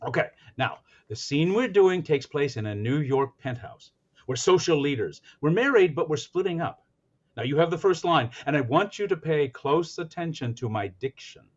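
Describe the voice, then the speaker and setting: A man's voice: a little high, animated, normal speed, dull audio. A man playing an eager radio announcer who hams up a society husband, with grand melodramatic diction in 1940s radio comedy style. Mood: eager, showy.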